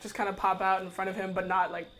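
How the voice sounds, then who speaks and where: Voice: mid-range voice, little pitch movement, fast, fairly bright tone, slight background noise. A player casually describing a character's actions in a tabletop roleplaying game.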